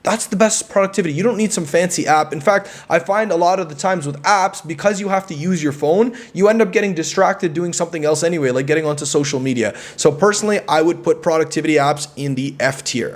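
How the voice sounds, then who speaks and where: Upbeat young man giving self-help advice. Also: fairly high pitch. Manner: emphatic, repeats words, asks "right?" often. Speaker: self-improvement vlogger. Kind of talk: casual advice monologue.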